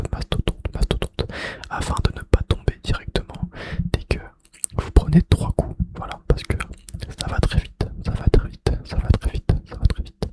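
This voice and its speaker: Guy doing asmr, all breathy whispers n mouth sounds, repeats little phrases. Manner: repeats phrases softly over and over. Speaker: ASMR video creator and host.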